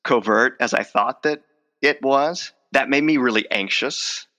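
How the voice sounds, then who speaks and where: A man's voice: medium pitch, a little slow, bright, forceful tone. A middle-aged man who stutters, with word repetitions and many fillers, speaking reflectively as an interview guest. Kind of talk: conversational interview.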